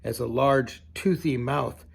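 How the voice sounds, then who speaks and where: Older male storybook reader, grandfatherly, slow and expressive, with noticeable gravel in the voice. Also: medium pitch. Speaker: grandfather reading a storybook aloud. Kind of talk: expressive children's storybook reading with casual asides.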